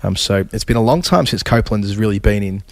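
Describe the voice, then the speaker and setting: A man, hoarse and raspy from a cold, hosting a casual cricket chat full of scores and restarts. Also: fairly low pitch, expressive, fast, dry recording. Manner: stat-heavy, with frequent fillers and restarts. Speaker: cricket podcast host.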